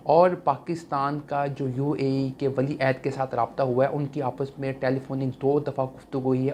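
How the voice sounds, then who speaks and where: A man's voice: mid-range voice, darkish tone. Pakistani man presenting news over background noise. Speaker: news commentary channel host. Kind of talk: news commentary to viewers. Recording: clear background noise, ambient sound.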